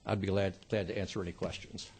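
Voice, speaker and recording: A man testifying as a witness before a committee, measured and polite. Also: low-pitched, level tone, a little mellow, breathy edge.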